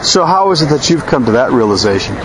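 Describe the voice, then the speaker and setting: A man's voice: mid-range voice, sing-song, fast talker. A man interviewing passersby outdoors with a handheld recorder, asking thoughtful, probing questions and sometimes musing at length himself. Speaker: street interviewer. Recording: noisy outdoor street recording.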